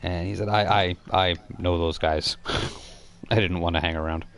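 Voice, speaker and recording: A man running a tabletop game as game master, narrating off the cuff and explaining in a halting, self-correcting way. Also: deep voice, steady tone, a bit bright, clear, resonant tone.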